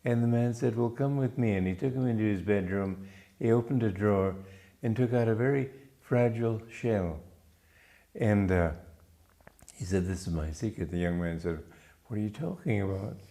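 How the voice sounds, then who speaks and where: Calm older man, mellow voice. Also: fairly low pitch. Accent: mixed English-American. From Romania. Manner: calm, measured, storytelling. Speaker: spiritual teacher. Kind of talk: spiritual talk.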